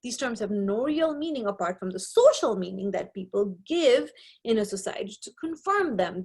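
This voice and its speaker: A woman's voice: fairly high pitch, gravelly, breathy edge. A young woman from Nepal, with English as her second language, explaining sociology concepts in a recorded slide lecture and often checking in with "right?". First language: not English. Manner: explanatory, frequent tag "right?". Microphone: webcam on a video lecture.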